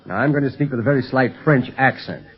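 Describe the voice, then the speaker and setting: An actor playing a steady, commanding adult detective leader in a 1930s radio drama, on a noisy old radio recording. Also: male voice, medium pitch, fast, breathy edge, highs cut off.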